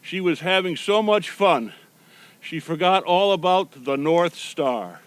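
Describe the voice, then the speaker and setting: A man reads a children's storybook aloud in the role of Santa Claus. His voice sits high for a man, and he delivers the tale as a read-aloud for small listeners. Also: animated, slow, a little hiss or hum.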